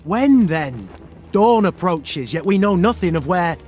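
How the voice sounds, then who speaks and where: A man's voice: high-pitched, big pitch swings, measured pace, darkish tone, faint music behind. A man playing an earnest, anxious military adviser to a queen in a fantasy game, formal and urgent. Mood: impatient worry. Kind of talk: fantasy game character acting.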